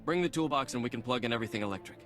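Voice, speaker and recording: Man as practical refugee, eager, quick pace, video game acting, background music. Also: medium pitch, fairly bright tone, natural voice.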